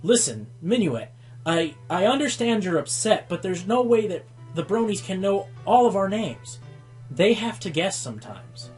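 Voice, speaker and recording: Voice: mid-range voice, very animated, moderate pace, darkish tone, soft background music, lightly acted. An actor playing a showy, easily exasperated pony who hosts a radio show in a radio play.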